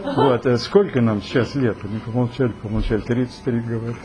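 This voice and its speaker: A man in his seventies tells a meandering story in a folksy, filler-heavy way. His voice sits at an ordinary pitch. A noisy recording carries loud hiss behind him. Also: fairly even intonation, brisk. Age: 70s. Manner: rambling, folksy, many fillers. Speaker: participant. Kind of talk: casual storytelling.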